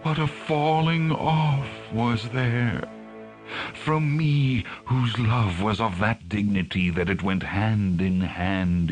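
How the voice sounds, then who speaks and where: Slow, anguished ghostly king. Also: lowish voice. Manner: solemn, theatrical, drawn out. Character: grieving, bitter ghost of a betrayed dead king. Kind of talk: dramatic Shakespearean audiobook reading. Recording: faint music behind.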